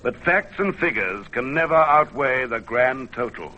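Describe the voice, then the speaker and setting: A man narrating an old-time radio adventure from a script in a measured, theatrical manner. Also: medium pitch, lively intonation, bright, forceful tone. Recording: dull vintage radio recording. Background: music.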